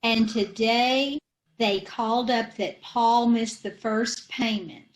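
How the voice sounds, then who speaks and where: A woman's voice: a little high, fairly even intonation. A woman playing a dotty, sweet-talking wife in an amateur old-time radio play. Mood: sweetly dotty. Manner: slow, dotty, syrupy sweet.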